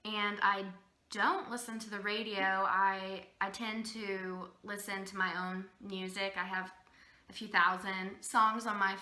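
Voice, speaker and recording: A woman's voice: mid-range voice, level tone, a little slow, sharp tone. A woman in her twenties answering interview questions casually, hesitant and thinking aloud as she goes. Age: 20s. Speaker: focus group participant. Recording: roomy echo.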